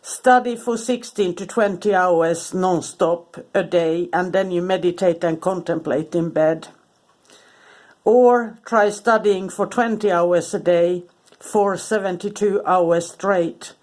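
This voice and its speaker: A woman with a cold, sniffling, giving a casual, halting talk full of ums and restarts. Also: fairly low pitch, a little mellow. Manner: hesitant, many ums and restarts. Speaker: spiritual content creator. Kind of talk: casual informal talk to camera.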